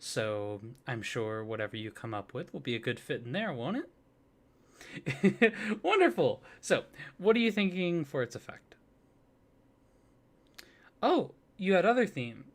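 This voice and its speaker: A soft-spoken man doing an ASMR roleplay, playing a polite office clerk who talks the listener through a form. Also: on the high side, sing-song, a little slow, pauses often. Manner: soft-spoken, polite customer-service patter.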